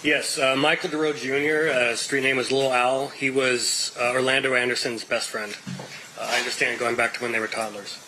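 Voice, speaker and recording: An American man testifying as a witness in court, measured and explanatory. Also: medium pitch, steady tone, sharp tone, low-quality recording. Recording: clear background noise.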